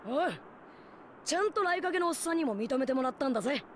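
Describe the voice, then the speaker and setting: A woman's voice: high-pitched, lively intonation, quick pace, slight background noise. A woman voicing a brash, hot-headed teenage boy ninja in an anime game, blunt and boyish. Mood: brash, surprised. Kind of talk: Japanese anime game voice acting. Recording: background music bed.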